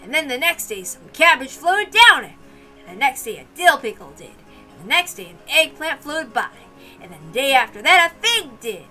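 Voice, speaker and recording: A woman's voice: sits high, sing-song, normal speed, sharp tone, soft background music, full character voice, low-quality recording. A woman voicing a jovial, teasing middle-aged uncle who tells riddles in a visual novel read-aloud. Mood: playful.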